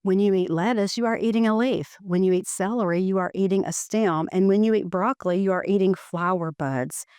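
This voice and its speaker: A woman reads a children's educational picture book aloud in measured, deliberate phrasing. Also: mid-range voice, darkish tone, clean tone, no echo, clean studio sound.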